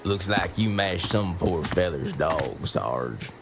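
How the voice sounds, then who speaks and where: Very deep drawling Southern redneck grunt. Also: male voice, animated, slow, over loud music, full character voice. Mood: dopey, folksy. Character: dim, grumbling Southern redneck marine grunt. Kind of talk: cinematic game cutscene acting.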